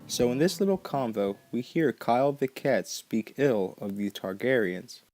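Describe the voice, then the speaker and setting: A man's voice: medium pitch, lively intonation, unhurried, darkish tone, soft background music. A man hosting a podcast, reading scripted narration. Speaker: podcast host.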